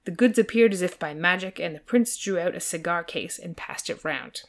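A woman's voice: lowish voice, moderate pace. A woman podcast host giving an amused, dramatic reading of a comic novel.

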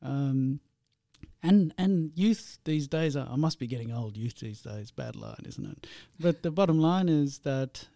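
A man's voice: medium pitch, sing-song, measured pace, gravelly, warm tone, no room sound. A man originally from India who hosts the interview, chatting in a friendly, rambling way with many fillers. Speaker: host.

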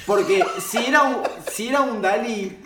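A man's voice: sits high, normal speed, crowd noise. A man with a Rioplatense Argentine accent chats casually as a guest, telling personal anecdotes in a candid, rambling way. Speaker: interview guest. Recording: roomy, echoey sound.

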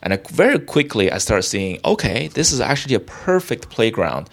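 A young man from China, a guest in an interview, talking in a halting way with many restarts and fillers. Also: medium pitch, sing-song. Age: young adult. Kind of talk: casual interview talk.

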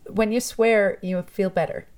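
A woman's voice: medium pitch, steady tone, a little slow, darkish tone. A woman from Toronto, a musician, talking in a casual interview in a thoughtful, chatty way and laughing often. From Toronto, Canada. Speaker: musician and guest.

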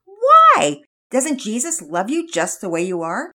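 A caring mother speaks with wry doubt and gentle concern, as a woman reader plays her. Her voice sits a little above the narrator's and moves lively, as in a read-aloud storybook. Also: on the high side, measured pace, pitched above the performer's own voice, exaggerated voice. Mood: doubtful. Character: skeptical, caring mother, Missus Hicks.

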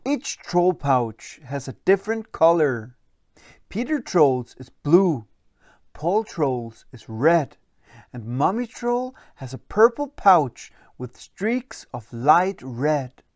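A storyteller narrating a children's bedtime story in an expressive, deliberate way. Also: mid-range voice, dry recording, studio quality.

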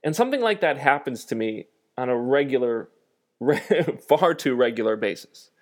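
A man with a nasal voice hosting a solo podcast, thinking aloud in a reflective, self-deprecating way with frequent pauses. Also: mid-range voice, animated. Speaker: podcast host.

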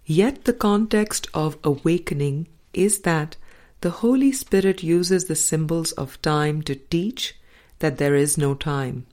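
A woman reading a spiritual book aloud as an audiobook narrator, in measured, deliberate phrases with pauses. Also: sits low, darkish tone. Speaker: audiobook reader.

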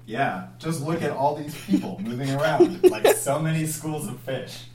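A man's voice: on the high side, animated, moderate pace, darkish tone. A man doing an amateur script read-through with friends in a wisecracking, theatrical way. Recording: roomy echo, others laughing behind.

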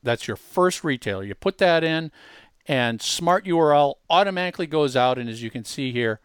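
A man's voice: fairly high pitch, expressive, normal speed, clean tone, no echo, studio quality. A man presenting a casual tutorial, explaining step by step in a conversational way with emphatic asides. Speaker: presenter demonstrating a web tool.

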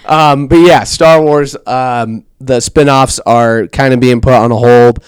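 Young male podcast host, laid-back chat, crisp clear voice, no room echo. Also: mid-range voice, steady tone, measured pace. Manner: laid-back, laughs often, swears casually. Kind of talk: casual chat.

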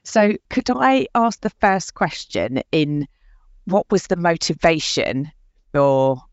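A woman's voice: low-pitched, sing-song, slow, no room sound, muffled recording. A woman hosting a podcast interview, talking in a chatty, enthusiastic way with many fillers. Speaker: podcast host.

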